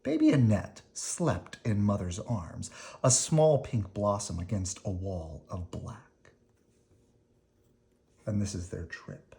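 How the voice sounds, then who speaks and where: A person reading a children's picture book aloud expressively, with dramatic emphasis, pauses and brief explanatory asides. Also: sits low, sing-song, vocal fry, warm tone. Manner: dramatic emphasis, pausing between phrases.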